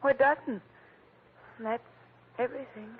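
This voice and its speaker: A woman's voice: a little high, fairly even intonation, unhurried, slight background noise, close to an everyday voice. A woman playing a sweet, bewildered young client with a hesitant, demure manner, in 1940s radio drama style. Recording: tinny old radio broadcast.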